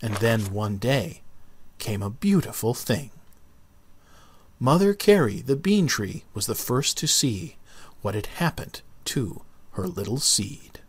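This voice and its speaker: A man narrating a children's book as an expressive read-aloud. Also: medium pitch, slow, slightly rough, no room sound. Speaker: storybook narrator.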